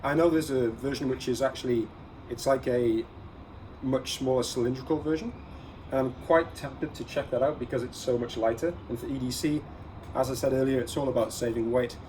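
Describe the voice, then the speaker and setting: A man's voice: medium pitch, fairly even intonation, fairly quick, a little mellow, low-quality recording. A man hosting a casual gear vlog, talking viewers through products in an instructive, advising way and stressing key words. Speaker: host of an everyday-carry gear vlog. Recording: clear background ambient noise.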